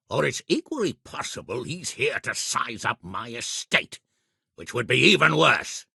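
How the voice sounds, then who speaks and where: Game lord: middle-aged man, gruff, commanding, forceful and sharp, with big swings in pitch. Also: no echo, studio quality, heavily acted. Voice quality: gravelly, husky. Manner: commanding, colourfully cursing, hearty. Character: blustering, shrewd medieval lord, gruff and quick-tempered. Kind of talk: video game character acting.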